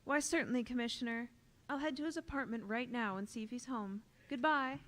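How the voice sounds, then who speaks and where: A woman's voice: fairly high pitch, brisk, a bit bright, natural voice. A woman playing a poised, polite, concerned heroine in a 1930s radio drama, speaking earnestly. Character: poised, concerned young lady companion of a vigilante. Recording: noticeable noise and clicks.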